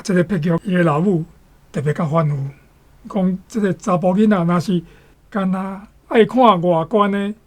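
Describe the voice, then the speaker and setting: Taiwanese storyteller with a mid-pitched voice. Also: a little mellow. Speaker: story discussion speaker. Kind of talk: conversational story retelling.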